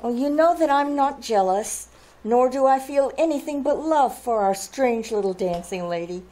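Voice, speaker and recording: An actress playing a gracious, compassionate young princess in old-time radio drama style, with refined, theatrical exclamations. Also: female voice, on the high side, animated, normal speed, dark tone, lightly acted, rough recording. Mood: earnest, concerned. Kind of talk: old-time radio drama acting, live stage performance.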